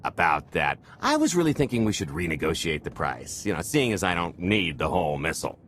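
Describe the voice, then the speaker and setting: A man's voice: low-pitched, very animated, a little fast, fairly bright tone, slight background noise. A man voicing a cocky, wisecracking smuggler in a video game, glib, sly and sardonic. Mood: breezy, glib.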